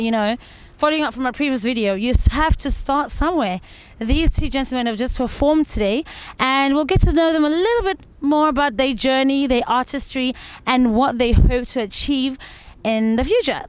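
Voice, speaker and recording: A woman's voice: sits high, normal speed, smooth, no echo, poor audio. A woman hosting a casual interview with musicians. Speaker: interview host.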